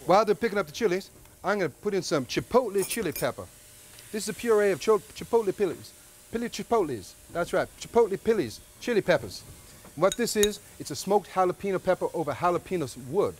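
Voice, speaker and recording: A man's voice: high-pitched, animated, quick pace, slight background noise. A man in his thirties hosting a cooking show at an outdoor grill, chatty and joking as he cooks. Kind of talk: cooking demonstration.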